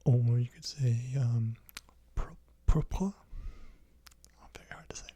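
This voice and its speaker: A teacher giving a whispered ASMR lesson, softly repeating each word many times in a breathy, husky whisper. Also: medium pitch, unhurried, dry recording. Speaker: language teacher.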